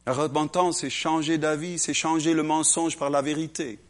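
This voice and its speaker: A man preaching a lively sermon to a congregation, acting out little voices and swinging between loud and hushed delivery. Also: fairly high pitch, normal speed. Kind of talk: animated sermon.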